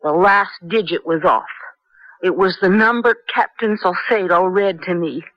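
An actress playing a bitter, defiant young American former prisoner, speaking with deliberate intensity in a 1970s radio drama. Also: female voice, on the low side, no echo, lightly acted. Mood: indignant. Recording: dull vintage radio recording.

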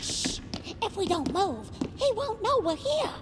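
A woman voicing a squeaky, sneaky villain's henchman creature with a cautious, fawning manner in a comic audio drama. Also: sing-song, measured pace, a little hiss or hum, exaggerated voice. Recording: reverberant room with background ambience.

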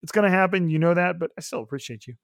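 A young man hosting a solo podcast, his voice raspy and hoarse, rambling casually with many fillers. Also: sits high, very fast, no room sound, studio quality. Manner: rambling, upbeat, lots of fillers. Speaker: podcast host.

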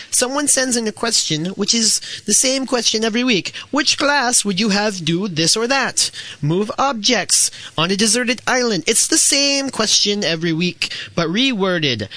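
A man who is a listener, reading out a recorded question for the show with dramatic pauses. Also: sits high, sharp tone, no echo.

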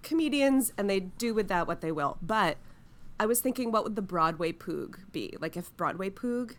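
A woman's voice: medium pitch, lively intonation, moderate pace. A woman co-hosting a podcast, playful and teasing in casual chat. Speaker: podcast co-host.

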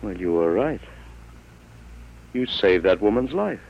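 Low, lively voice, a worried stammering dad, dull lo-fi old radio drama. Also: male voice, unhurried, gravelly, noisy background, heavily acted. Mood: bewildered. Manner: stammering, incredulous. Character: worried, bewildered middle-aged father. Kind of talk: 1940s radio drama acting.

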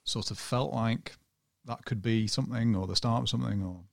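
A man with a Northern English accent, a journalist guest on a casual podcast, talking in a flat, self-described monotone and rambling a little. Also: medium pitch, fairly quick, creaky, dry recording, studio quality.

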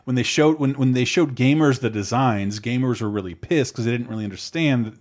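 A man chatting casually on a podcast. His pitch sits in a middle range for a man, and his talk is loose and rambling, full of restarts and quick laughs. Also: a little mellow.

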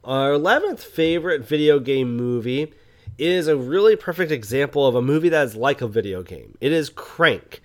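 A man's voice: medium pitch, expressive, normal speed. Man chatting casually about films. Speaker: podcast co-host and film reviewer. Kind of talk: casual film discussion.

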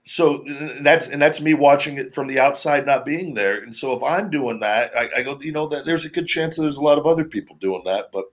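Guy with a deep booming voice rambling on a podcast, casual chat. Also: a little fast, hardly any pauses. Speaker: podcast host, former pro wrestler.

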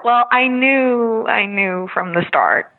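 A Dutch-born actress answering interview questions in a hesitant way, with many fillers and restarts. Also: female voice, fairly high pitch, takes it slowly. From Netherlands. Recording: tinny phone-like line.

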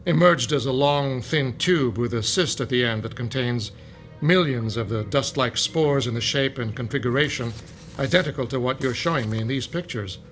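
A man's voice: mid-range voice, moderate pace, a bit bright, soft background music, natural voice. An actor playing a male professor who lectures patiently about fungi in an audio drama. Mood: lecturing. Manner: lecturing, explanatory. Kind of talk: modern audio drama acting.

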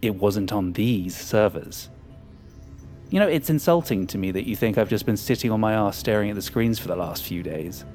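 An actor playing an arrogant, power-hungry hacker in an audio drama, with a smug, cynical and sardonic manner. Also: male voice, fairly low pitch, lively intonation, moderate pace, a little mellow, faint music behind, natural voice. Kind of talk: audio fiction acting.

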